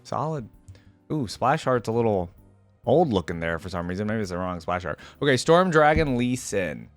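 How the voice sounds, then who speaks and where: A man giving casual, slangy, enthusiastic gaming reaction commentary, thinking out loud as he goes. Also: fairly low pitch, big pitch swings, moderate pace. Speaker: reaction video host. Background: game music.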